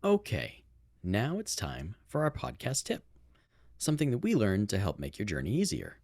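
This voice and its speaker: A man with a low, deep voice speaks as a podcast co-host. He talks in a casual, conversational way, and the sound is very dry. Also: huge pitch swings, normal speed, gravelly, a little mellow, no room sound.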